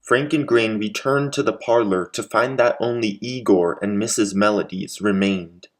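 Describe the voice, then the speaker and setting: A man's voice: on the low side, moderate pace, voice pushed down, close to an everyday voice. A man acting as the solemn narrator of a scripted radio murder mystery.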